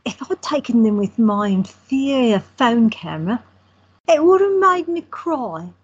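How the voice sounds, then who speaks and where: A woman playing a quiet, teasing photographer in her twenties, trading dry banter with her brother. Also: a little high, animated, a little slow, slightly rough, heavily acted. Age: 20s. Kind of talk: amateur audio drama acting. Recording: dull lo-fi audio.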